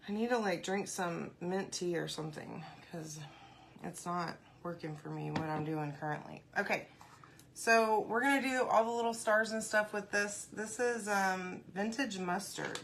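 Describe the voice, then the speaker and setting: A woman hosting a casual craft live stream, congested and often clearing her throat, chatting and greeting viewers by name. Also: mid-range voice, normal speed, fairly bright tone, slight background noise.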